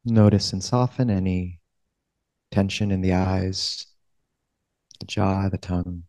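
A man with a fairly low voice guides a meditation in a gentle, unhurried way. His pitch stays very even, with long pauses between phrases. The sound is close and dry. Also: dry recording. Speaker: monastic meditation teacher.

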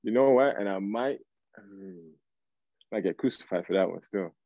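A man, chatting casually as an interview guest in a halting, slangy way on a video call. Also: lowish voice, sing-song, creaky, dry recording, narrow, tinny audio. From Canada, raised in Toronto. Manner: halting, slangy, searching for words. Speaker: guest R&B singer. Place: small room, indoors. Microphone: video call, device at arm's length.

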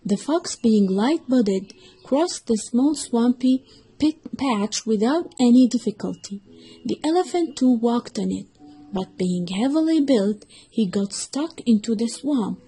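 Female storyteller for children's fables: higher-pitched, husky, scripted narration. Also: animated, unhurried, warm tone, rough recording.

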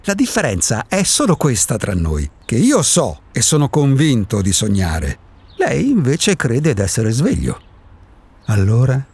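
A man's voice: mid-range voice, huge pitch swings, a little slow, a little mellow, husky, heavily acted. An actor in a radio drama playing an eccentric, solitary man who insists he does not exist, philosophizing in a provocative, impatient way. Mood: impatient, lecturing. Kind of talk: Italian radio drama acting.

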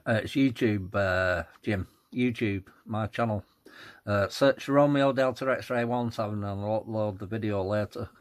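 A man making casual radio contacts with call signs, in halting procedural phrasing. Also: on the low side. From England. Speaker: amateur radio operator.

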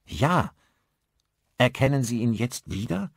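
A man's voice: mid-range voice, animated, slow pace, creaky, slightly rough, no room sound, pitched above the performer's own voice, full character voice. A man voicing a polite, questioning male newcomer to a village in an audiobook. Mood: insistent.

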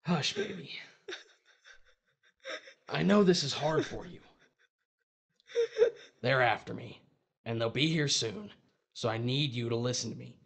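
Man acting creaky, desperate ghost husband. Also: mid-range voice, lively intonation, measured pace, long pauses, a bit bright, full character voice. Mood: pleading, pained. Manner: urgent, pleading, impatient. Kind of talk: horror audio drama acting.

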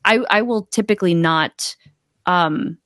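A woman coach chats as a podcast guest in a fairly low voice. She thinks aloud, starting and restarting in a conversational way, recorded close and dry in a small room. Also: a little slow, a bit bright, no echo. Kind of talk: casual conversational interview. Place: small indoor room, video call. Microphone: close desk microphone.

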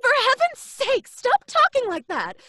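A brash, very high-voiced young dame. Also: female voice, huge pitch swings, measured pace, a bit bright, a little hiss or hum, exaggerated voice. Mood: exasperated. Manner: snappy, exasperated. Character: brash, impatient, scornful young working woman. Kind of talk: old-time radio drama acting.

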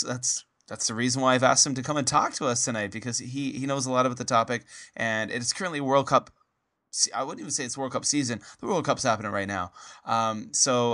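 A man's voice: mid-range voice, pushed and bright. A Canadian man hosting a casual podcast interview, rambling with many fillers and restarts. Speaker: podcast host.

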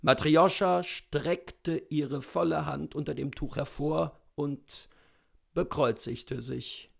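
A man in his thirties narrating a literary story aloud in a measured, expressive way. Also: on the high side, vocal fry, husky. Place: small indoor room lined with bookshelves.

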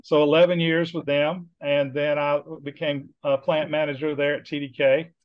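A man's voice: on the high side, little pitch movement, normal speed. A middle-aged man from Georgia with a Southern accent, talking casually as an interview guest over a video call, with many fillers. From Georgia, USA. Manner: friendly, rambling with many fillers. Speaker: guest, business consultant. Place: home office with bookshelves. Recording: dull lo-fi video call audio.

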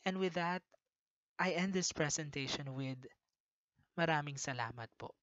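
A speaker from the Philippines with a Filipino accent, giving an earnest, measured slideshow lecture. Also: mid-range voice, fairly bright tone, no room sound. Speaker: presenter of a recorded lecture. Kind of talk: prepared slideshow narration. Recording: dull lo-fi voice-over, dry.

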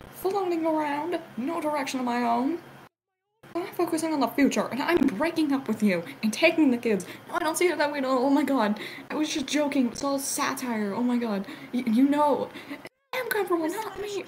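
A woman's voice: high voice, animated, normal speed, slight background noise, poor audio. A woman improvising a joking cartoon fandub in a giggly, theatrical manner. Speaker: amateur fandub voice actor.

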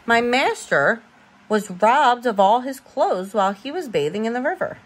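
Clever courtly cat for a fairy tale, voiced by a woman, smooth-talking manner, animated pitch, slightly husky. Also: moderate pace. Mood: pleading. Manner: courtly, persuasive. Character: clever, cunning male cat, polite and flattering.

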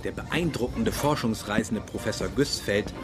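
An actor playing a naval navigation officer giving factual recollections in a docudrama. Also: male voice, fairly low pitch, normal speed, over music, natural voice. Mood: sober.

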